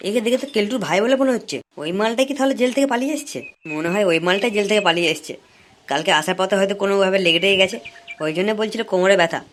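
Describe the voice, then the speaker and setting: A man's voice: sits high, racing, a bit bright, a little hiss or hum. A man voicing a broke, hot-headed young villager, whiny and scheming, in a comic cartoon. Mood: scheming, excited. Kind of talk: comic cartoon dialogue and muttered monologue.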